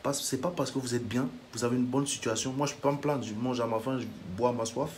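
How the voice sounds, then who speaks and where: A man's voice: medium pitch, fairly even intonation, fast, background noise. A man from Africa delivering a heated reaction monologue as a vlogger, indignant and lecturing, blunt to the point of insults.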